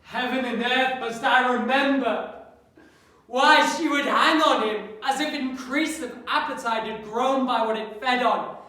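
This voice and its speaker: A very echoey room carries a man's performance of a grieving, bitter young prince in a Shakespearean soliloquy. The delivery is impassioned and declamatory, high and forceful, with deliberate pacing. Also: exaggerated voice. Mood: bitter grief. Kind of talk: classical Shakespearean stage soliloquy. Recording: very echoey room, slightly distant mic.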